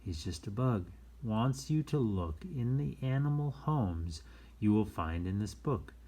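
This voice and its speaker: A man's voice: lowish voice, animated, a little slow, dark tone. A man hosting a read-aloud in a casual style, with easygoing, rambling explanatory asides. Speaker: storytime reader and host.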